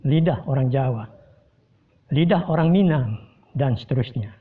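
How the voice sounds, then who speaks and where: A man delivering a formal, measured scripted commentary to his viewers. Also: fairly high pitch. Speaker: host giving a commentary. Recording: distant tinny phone-like sound.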